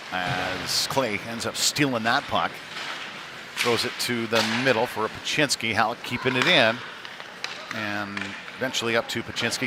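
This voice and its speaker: Male hockey commentator over rink noise. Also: mid-range voice. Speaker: play-by-play commentator. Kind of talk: live youth ice hockey commentary. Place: ice rink during a live game. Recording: noisy rink with ambient clatter behind. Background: rink game noise.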